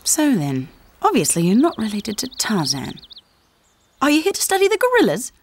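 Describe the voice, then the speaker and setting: An actress playing a spirited, polite young researcher with a proper manner in a video game. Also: female voice, a little high, normal speed, slightly rough, exaggerated voice. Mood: curious. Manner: proper, animated.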